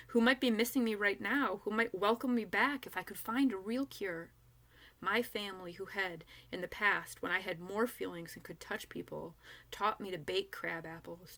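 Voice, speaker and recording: A woman reading a first-person novel aloud as an author, narrating expressively and acting out the dialogue. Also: mid-range voice. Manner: animated, acts out dialogue.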